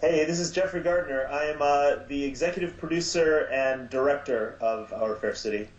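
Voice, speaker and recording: A man being interviewed as producer and director of an audio drama, talking in a halting way with many fillers and restarts. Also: fairly high pitch, slight background noise. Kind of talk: casual podcast interview. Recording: echoey, dull lo-fi sound.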